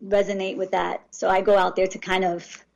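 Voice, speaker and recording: Woman, 30s, from New York, guest author, relaxed, reflective, mid pitch. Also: normal speed, creaky, highs cut off. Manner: relaxed, reflective, frequent restarts. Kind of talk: casual interview answers.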